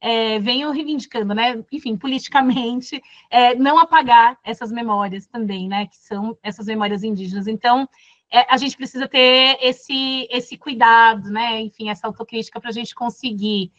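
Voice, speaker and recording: Soft-toned Brazilian woman teaching. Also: a little high, moderate pace, dry recording, lo-fi sound. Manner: thoughtful, many fillers and restarts. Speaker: course facilitator. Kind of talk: casual classroom talk.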